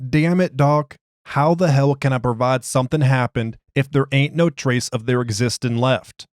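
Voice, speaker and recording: A man's voice: mid-range voice, fairly even intonation, moderate pace, no room sound, clean studio sound, natural voice. A man voicing an agitated, streetwise prisoner who argues his case in slangy, ungrammatical, exasperated speech. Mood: frustrated. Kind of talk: dramatized interview log.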